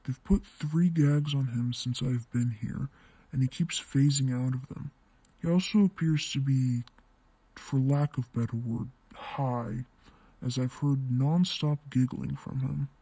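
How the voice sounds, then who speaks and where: A man voicing a gruff, sardonic masked vigilante with a blunt, dry delivery in a fan fiction audiobook. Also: medium pitch, measured pace, mellow, dry recording, poor audio. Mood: dry, matter-of-fact.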